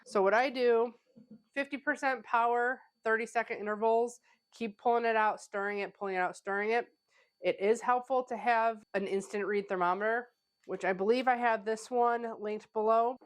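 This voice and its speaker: A woman's voice: fairly high pitch, steady tone, normal speed. A woman who is a pastry chef hosting a casual home-kitchen cooking tutorial, a little stuffy from a cold, chatting with plenty of "like" fillers. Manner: chatty, conversational, with frequent "like" fillers. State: stuffy, getting over a cold.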